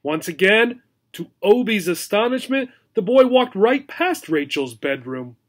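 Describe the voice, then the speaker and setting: A man's voice: high-pitched, very animated, measured pace. A man teaching as he reads a children's story aloud, telling it expressively and simply for learners.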